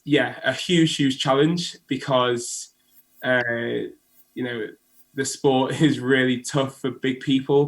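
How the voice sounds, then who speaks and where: A man's voice: medium pitch, fairly bright tone. A man, a diver, giving earnest answers in an interview. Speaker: Olympic diver guest.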